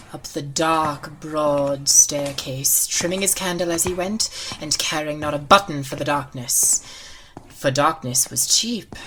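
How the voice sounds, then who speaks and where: A woman narrating a classic ghost story as a dramatic audiobook, theatrical and suspenseful, with a tendency toward hushed, breathy delivery. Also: low-pitched, a little hiss or hum, low-quality recording. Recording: loud music under the voice. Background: music.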